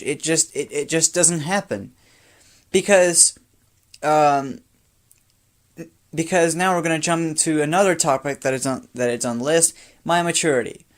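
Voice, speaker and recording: A teenage boy from Brazil, sixteen, speaks English as a second language with faint traces of a Brazilian Portuguese accent. His voice sits a little high for a man. He rambles off the cuff, tired, into a phone camera, repeating and restarting phrases. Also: fairly bright tone. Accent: light Brazilian Portuguese. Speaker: vlogger. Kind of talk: off-the-cuff personal talk to camera.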